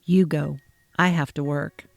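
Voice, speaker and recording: A woman's voice: fairly even intonation, measured pace, vocal fry, no echo. A woman reading the part of a young husband, dropping her voice and speaking bluntly. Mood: curt. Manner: blunt, lowered voice. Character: curt young husband and father.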